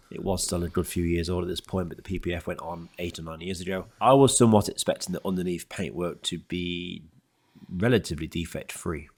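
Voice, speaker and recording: Deep-voiced man with a blocked nose. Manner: methodical, explanatory, lists steps one by one. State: nasal and congested from a cold. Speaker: car detailer and channel host. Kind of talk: narrated voice-over for a detailing video. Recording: voice-over with loud music underneath.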